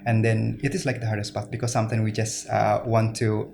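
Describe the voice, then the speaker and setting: Earnest Indonesian man, halting. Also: lowish voice, flat delivery, vocal fry, a little mellow, slight background noise. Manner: earnest, many fillers and restarts. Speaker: guest speaker. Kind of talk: interview answers.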